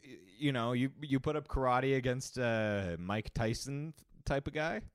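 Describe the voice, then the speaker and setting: A man's voice: medium pitch, measured pace, clear, resonant tone, no room sound, clean studio sound. A young man co-hosting a casual movie podcast, joking and opinionated, laughing often. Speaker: podcast co-host. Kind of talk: casual movie-review chat.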